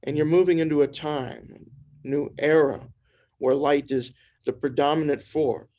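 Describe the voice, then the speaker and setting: A man voicing an ancient spirit presence through trance channeling, speaking in slow, formal pronouncements. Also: on the high side, fairly even intonation, warm tone, lightly acted. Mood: solemn.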